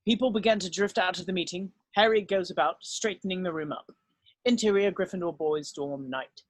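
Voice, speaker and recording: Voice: mid-range voice, fairly quick, no echo. A narrator reading screenplay stage directions as scripted narration, now and then stumbling over the text.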